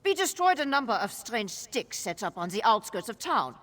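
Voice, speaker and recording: A woman's voice: a little high, very animated, moderate pace, fairly bright tone, big-room sound. An actress playing a stern, determined female guard captain in a video game, with a commanding, clipped manner. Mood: grim. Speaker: soldier on guard. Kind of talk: video game character acting.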